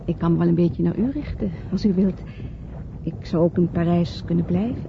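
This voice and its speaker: An actress playing a flirtatious married woman in a radio play, expressive and coaxing. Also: on the low side, a little fast, gravelly. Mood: intimate, seductive. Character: flirtatious married woman fleeing London, pleading then seductive. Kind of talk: Dutch radio play acting. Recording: dull, noisy old radio play recording.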